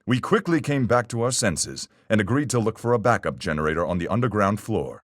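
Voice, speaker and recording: Man acting a very deep, folksy sea captain. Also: lively intonation, no echo, clean studio sound. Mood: grave, matter-of-fact. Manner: salty seafaring slang, measured deductive delivery. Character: gruff, level-headed male sea captain, hearty and folksy. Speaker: voice actor in a fan-made mystery game. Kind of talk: video game character voice lines.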